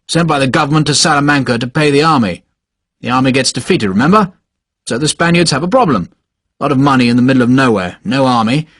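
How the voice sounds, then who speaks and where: A man voicing a short, pious older cavalry major who speaks gruffly and brusquely in clipped commands. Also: mid-range voice, fairly bright tone, no echo, clean studio sound. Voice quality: gruff, brusque, barking laugh. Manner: clipped commands, fervent and impatient. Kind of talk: audiobook character voice.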